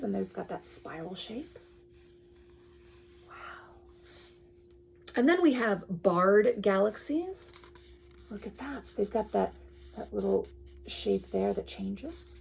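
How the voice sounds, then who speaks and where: A woman reading aloud to a child with chatty asides, expressive and full of wonder, often dropping to a whisper. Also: mid-range voice, vocal fry, a little hiss or hum, low-quality recording.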